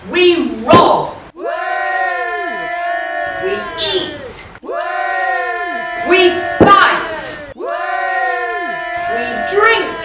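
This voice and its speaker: A woman playing a boastful, dim male outlaw in a stage pantomime, with loud emphatic delivery. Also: high voice, heavily acted. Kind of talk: broad pantomime stage acting. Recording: echoey hall, distant mic, crowd and noise.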